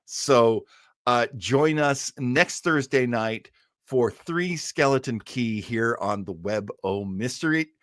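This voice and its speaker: A man hosting a livestream, chatting casually to viewers in a halting, enthusiastic way. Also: mid-range voice, fairly bright tone, dry recording, clean studio sound. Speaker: livestream host. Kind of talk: casual live chat to viewers.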